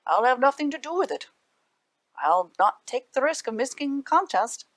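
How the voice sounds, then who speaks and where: A woman's voice: high-pitched, big pitch swings, normal speed, pitched above the performer's own voice. A woman voicing a boastful, greedy young male village harpist in a storybook, sneering and self-important. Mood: defiant.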